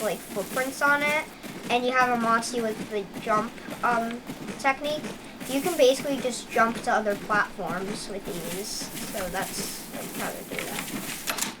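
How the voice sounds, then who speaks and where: A young woman with a scratchy voice casually walking through a video game, rambling with lots of "like" and restarts. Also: fairly high pitch. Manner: rambling, filler-heavy, frequent restarts. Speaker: gameplay guide host. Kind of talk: casual gameplay walkthrough. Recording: noisy home recording.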